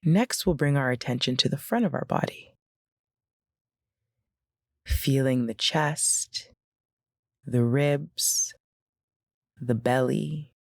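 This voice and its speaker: Female meditation guide: gentle and very slow, with noticeable vocal fry, recorded close and dry. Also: rumbling low, no room sound. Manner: gentle, unhurried, instructional with pauses.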